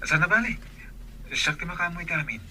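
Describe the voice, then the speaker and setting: An eager young suitor played by a man. His voice is very bright and sharp, coaxing in radio drama style over faint background noise. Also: a little high, animated, brisk. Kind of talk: Filipino radio drama acting.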